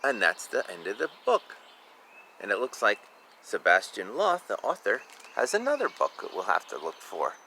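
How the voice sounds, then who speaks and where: Bright-voiced man reading a children's storybook. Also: lowish voice, moderate pace, a little hiss or hum. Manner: expressive, informal, drawing out words, commenting on the pictures. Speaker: children's book reader. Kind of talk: animated storybook read-aloud with casual asides.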